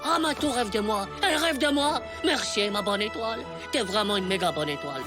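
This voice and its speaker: A brash ten-year-old cartoon schoolboy, played by a woman, easily flustered and embarrassed. He whines and dramatises everything in kid slang, with music playing loudly behind him. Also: mid-range voice, animated, brisk, natural voice. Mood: gloating. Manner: whiny, melodramatic, slangy. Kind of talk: animated series voice acting.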